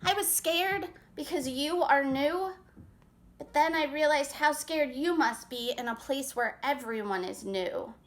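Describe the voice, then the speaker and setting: A woman plays an excitable little chipmunk from a storybook. The voice is high and earnest, fretting and blurting things out with great emphasis. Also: a little slow. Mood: pleading, earnest. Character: anxious, excitable female chipmunk, earnest and kind. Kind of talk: picture book read-aloud.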